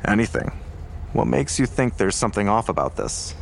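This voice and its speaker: A weary, skeptical detective in an audio drama, speaking in a deep, gravelly voice. He is terse and measured, probing as he goes, with faint noise behind him. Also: sing-song. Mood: measured, businesslike.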